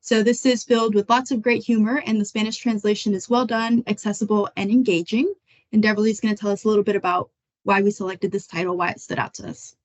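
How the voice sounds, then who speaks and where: A young adult woman from Florida giving a measured, prepared book talk over a video call. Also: medium pitch, steady tone. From United States (Florida). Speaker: book selection editor. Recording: muffled dull audio.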